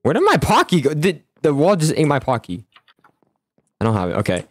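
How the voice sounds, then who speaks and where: A man's voice: on the high side, wildly swooping pitch, fairly quick, no room sound. A young man chatting casually in an improvised game roleplay, rambling and repeating his phrases with stammering starts. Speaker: host of a gaming roleplay. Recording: music bed under the voice. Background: background music.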